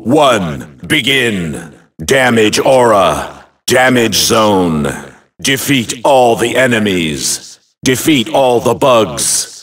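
A man's voice: mid-range voice, fairly bright tone, distant mic. An actor playing a commanding male announcer in a fantasy action video game, delivering short phrases in an emphatic, clipped way.

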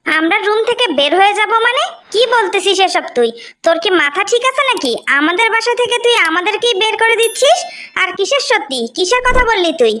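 A very high, piercing female voice with a Bangladeshi accent , acted by a woman, plays a young cartoon sister. She is brash, haughty and spiteful, always ready to scold. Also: lively intonation, fairly quick, bright, forceful tone, heavily acted. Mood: outraged. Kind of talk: amateur cartoon story dubbing.

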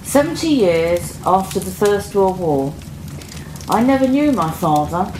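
A woman's voice: mid-range voice, animated, a little slow, darkish tone, close to an everyday voice. A woman playing a soldier's grown daughter, narrating a radio drama with sombre reflection on loss. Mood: wistful. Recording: echoey room, clearly noisy background.

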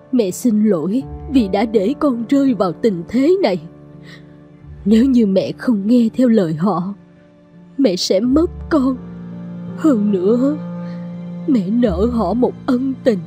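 Woman voicing a troubled humble mother, mellow voice. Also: a little high, lively intonation, slow, long pauses, soft background music. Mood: sorrowful. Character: humble, troubled middle-aged servant mother. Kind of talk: cartoon fairy-tale dubbing.

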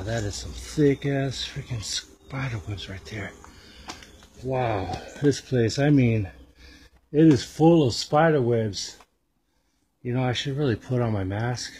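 A man's voice: mid-range voice, noisy background. A man hosting an exploring video, giving casual, off-the-cuff commentary with muttered exclamations and some swearing. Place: on location at an abandoned house.

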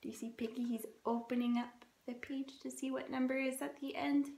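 A young woman reading a picture book aloud for children, adding soft, gentle explanatory asides. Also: sits high, fairly even intonation, fairly quick, gravelly. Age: young adult. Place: small bedroom, indoors. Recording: roomy echo of a bedroom.

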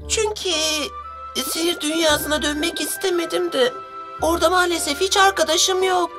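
Little boy magician: very high, boyish, shy and earnest cartoon voice over loud music. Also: moderate pace. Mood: sheepish. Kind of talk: cartoon dub.

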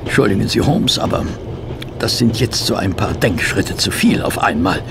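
A man's voice: fairly low pitch, lively intonation, moderate pace. An actor playing a detective's puzzled, plain-minded male companion, flustered and questioning in a radio play. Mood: mildly put out. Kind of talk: German radio play dialogue. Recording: clear vehicle noise behind.

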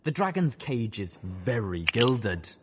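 A man's voice: medium pitch, very animated, takes it slowly, noisy background. A man voicing a glib, cheerful cyborg pony scientist in an audiobook, breezy and matter-of-fact. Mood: amused, brisk. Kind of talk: audiobook character voice.

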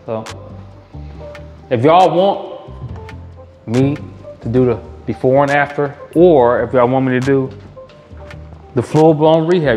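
A man's voice: medium pitch, darkish tone, lo-fi sound. A man gives a casual, upbeat house tour in bare indoor rooms, repeating his catchphrases. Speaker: realtor and house flipper. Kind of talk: walkthrough house tour vlog.

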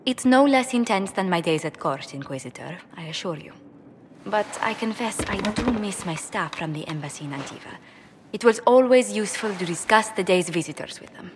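A woman's voice: mid-range voice, lively intonation, normal speed, in a large hall, background noise, close to an everyday voice. A woman voicing a poised, courteous court diplomat in a video game, speaking formally. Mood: polite, guarded. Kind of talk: video game dialogue acting.

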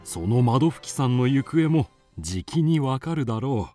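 A man's voice: medium pitch, expressive, normal speed, dark tone, faint music behind, natural voice. A man playing a thoughtful, kindly doctor to animals in an audio drama, musing in an avuncular way. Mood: kindly, decisive.

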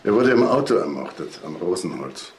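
An actor playing a terse, factual homicide investigator in a German crime radio drama. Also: male voice, sits low, very animated, brisk. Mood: businesslike. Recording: noisy ambience behind.

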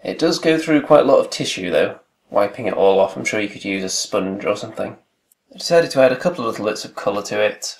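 A man casually narrating his hobby build log in a relaxed, wry, understated way. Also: fairly low pitch. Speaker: hobby video creator. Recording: echoey home room.

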